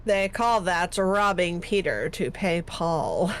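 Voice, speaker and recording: A woman's voice: lowish voice, animated, takes it slowly, a little hiss or hum. A woman chiming in during a livestream with short, dry quips. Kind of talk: casual chat.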